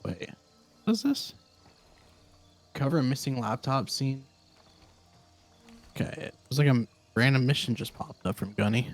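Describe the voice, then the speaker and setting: A hoarse man who is losing his voice, chatting with teammates during a shooter game, halting and restarting his sentences, over a headset mic. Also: mid-range voice, faint music behind. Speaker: gaming teammate. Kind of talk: casual gaming chat.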